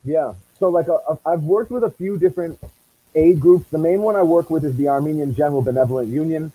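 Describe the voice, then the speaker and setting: Guy with a boston accent, real soft dark mellow tone, musician chatting in an interview. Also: a little high, brisk, breathy edge, low-quality recording. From Massachusetts, USA. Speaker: musician guest. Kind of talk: conversational interview answers.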